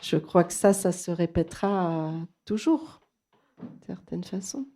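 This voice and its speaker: A woman's voice: fairly low pitch, animated, measured pace, dark tone, slight background noise. A woman from Argentina, a native Spanish speaker with a Toulouse French accent, giving a thoughtful, hesitant personal account at a bookshop talk. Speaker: psychoanalyst presenting at a bookshop talk.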